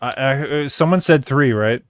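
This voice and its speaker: Male livestream host, smooth voice, blunt joking delivery, dry close sound. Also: medium pitch, moderate pace, dry recording. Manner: blunt, joking, swears casually. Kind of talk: casual panel chat.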